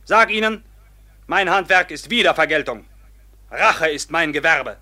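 An actor playing a proud, defiant robber captain in a classical radio drama, declaiming in a mocking, commanding manner. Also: male voice, on the high side, expressive, a little slow, sharp tone, heavily acted. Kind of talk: classical stage-style radio drama acting.

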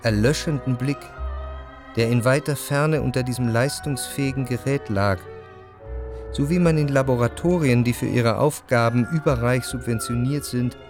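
A man's voice: medium pitch, natural voice. Man as reflective literary narrator, faint music. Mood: observant. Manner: measured, literary, precise. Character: reflective, refined male narrator recalling Parisian salon society. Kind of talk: scripted first-person narration in radio drama.

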